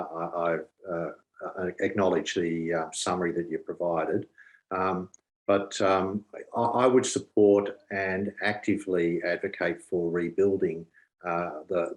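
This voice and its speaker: A man answering haltingly as a candidate at an online forum. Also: low-pitched. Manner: halting, hesitant delivery. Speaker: election candidate. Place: online video call.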